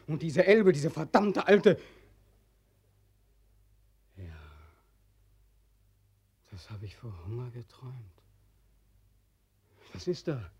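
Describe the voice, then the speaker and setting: A young soldier back from war, bitter and anguished. His voice is husky and rather dark, and he broods in old radio-play style. The sound is very dry and close. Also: mid-range voice, very animated, takes it slowly, pauses often, dry recording, heavily acted. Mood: agitated, bitter, then haunted, bitter. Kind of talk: 1940s German radio acting. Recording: dry 1940s radio studio recording.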